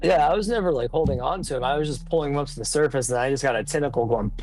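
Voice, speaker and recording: A man talking casually as a player in a tabletop game, explaining his moves in a hesitant, halting way. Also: medium pitch, a little mellow. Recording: background instrumental music under voice. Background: instrumental music.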